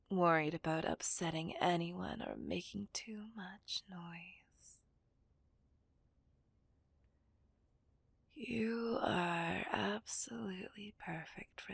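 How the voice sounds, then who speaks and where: A woman's voice: fairly low pitch, gravelly, husky, dry recording, full character voice. A woman playing a dominant, taunting female villain in an ASMR roleplay, whispering breathily and possessively to a hostage. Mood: cold, threatening.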